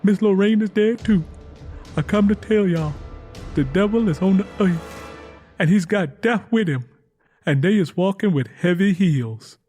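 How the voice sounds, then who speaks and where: A woman's voice: mid-range voice, unhurried, dark tone, soft background music, pitched above the performer's own voice, lightly acted. A man voicing a terrified young Southern woman who speaks desperately and out of breath.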